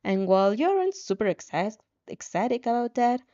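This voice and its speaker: A woman's voice: on the high side, sing-song, brisk, mellow, muffled recording. A woman reading a story expressively, with casual, playful asides and the odd stumble over words. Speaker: fan fiction reader reacting as she reads.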